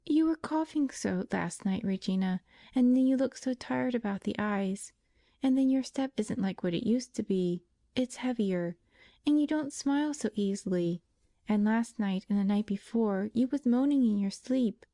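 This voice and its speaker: A woman's voice: medium pitch, moderate pace, warm tone, dry recording, lightly acted. A woman voicing a frail, pious young invalid woman who pleads tenderly and tearfully.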